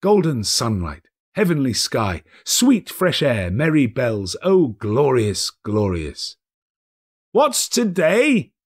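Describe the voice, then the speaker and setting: A man narrating a classic story as an audiobook reader, in a theatrical, expressive and dramatic literary style. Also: mid-range voice, slow pace, hardly any pauses.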